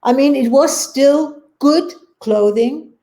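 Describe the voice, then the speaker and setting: A woman's voice: high voice, slow pace, dark tone. A woman in a reflective casual conversation, thinking aloud hesitantly and rephrasing as she goes.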